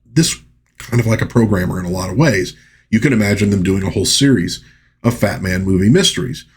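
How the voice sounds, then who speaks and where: Husky low-voiced man reviewing a movie. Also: fairly quick. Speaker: film review host. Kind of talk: conversational movie review, recapping and commenting.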